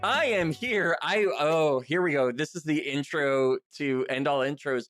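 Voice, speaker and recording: A man's voice: a little high, over music. A man hosting a podcast in a casual, conversational way, often stopping and restarting as he thinks aloud. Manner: halting, self-interrupting, thinking aloud. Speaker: podcast host and interviewer.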